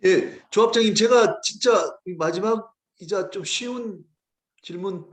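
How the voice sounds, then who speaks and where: Brief: man with a high voice, professor moderating online, polite and encouraging. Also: a little slow. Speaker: host and professor.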